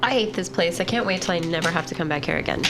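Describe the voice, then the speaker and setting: Woman with a lowish voice, gaming. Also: racing, background noise. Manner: informal. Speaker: tabletop game player. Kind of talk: casual chat during a role-playing game. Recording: background music under the voice. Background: music.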